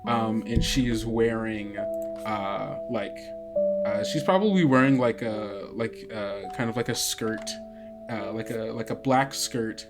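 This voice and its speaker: A game master narrating an improvised tabletop game casually, haltingly thinking aloud. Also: medium pitch. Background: guitar music.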